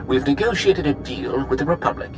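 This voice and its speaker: A smug sci-fi executive played by a man for a video game. His delivery is animated and theatrical, glib and pompous with a teasing edge, over faint music. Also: mid-range voice, brisk, close to an everyday voice, rough recording. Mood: glib, businesslike. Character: smug, slick male corporate executive of a sci-fi company. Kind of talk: video game cutscene acting.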